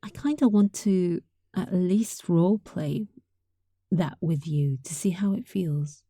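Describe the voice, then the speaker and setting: A woman's voice: lowish voice, takes it slowly, no echo. A woman playing a sweet, affectionate young catgirl roommate in an intimate roleplay, speaking tenderly and breathily, often close to a whisper. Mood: cuddly, affectionate. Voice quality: soft, breathy. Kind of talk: intimate roleplay audio, softly acted monologue.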